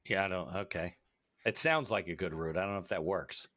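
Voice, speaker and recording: A man's voice: low voice, brisk, sharp tone. A man from the United States hosting an interview, mostly giving brief affirmations and wry jokes. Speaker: interview host. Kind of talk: casual interview conversation.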